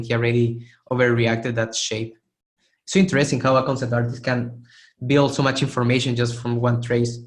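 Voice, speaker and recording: A man teaching a live online art webinar, hoarse and losing his voice, who keeps clearing his throat and gives casual, encouraging feedback with frequent restarts. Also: medium pitch. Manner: casual, encouraging, restarts phrases while demonstrating. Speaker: art instructor giving feedback. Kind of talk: live webinar critique of 3D sculpts.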